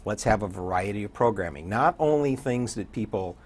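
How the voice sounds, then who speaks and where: An older man talking conversationally as an interview guest, a radio DJ with a husky voice. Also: on the low side, lively intonation, a little fast. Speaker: guest, radio program director and morning show DJ. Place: indoor TV talk show studio.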